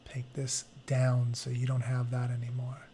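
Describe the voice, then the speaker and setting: A man's voice: mid-range voice, completely flat delivery, a little slow, mellow, slight background noise. A man teaching through a read-aloud, mixing narration with informal, conversational explanations as he goes. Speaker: teacher reading a book aloud.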